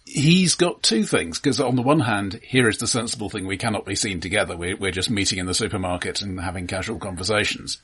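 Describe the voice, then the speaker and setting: Husky low-voiced man discussing film. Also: fairly bright tone. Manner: halting, repeats words. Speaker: podcast co-host.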